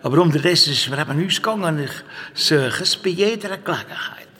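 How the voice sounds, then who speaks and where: Older medieval monk herbalist, Swiss German accent, patronising and teasing, bright forceful voice, high for a man, audio drama. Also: expressive, quick pace. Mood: explaining. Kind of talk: children's audio drama acting.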